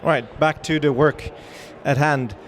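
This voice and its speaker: A middle-aged Dutch man talks to a crowd at a trade show, upbeat and chatty, often pausing on fillers and restarting his sentences. His voice sits somewhat high for a man. A faint wash of show-floor noise runs behind him. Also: fairly even intonation. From Netherlands. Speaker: dive training director giving a trade-show talk. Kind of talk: live presentation to an audience.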